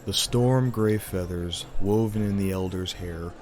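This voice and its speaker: Male narrator for fantasy audio drama: very low voice, theatrical, deliberate storytelling over music. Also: a little mellow.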